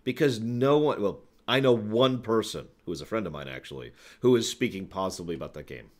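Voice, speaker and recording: A man's voice: lowish voice, fairly quick. A man hosting a live stream, chatting casually with viewers in an emphatic, opinionated way full of conversational asides. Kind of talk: casual livestream talk to chat, answering viewer questions. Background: background music.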